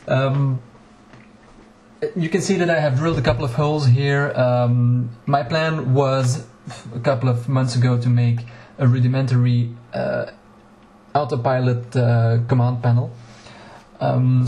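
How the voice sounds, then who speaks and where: A man's voice: medium pitch, dark tone, echoey room, slight background noise. A native Dutch-speaking man, a home cockpit builder giving a casual walkthrough video, talking with frequent fillers and restarts, recorded on an action camera in a small home room. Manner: hesitant, many fillers and restarts.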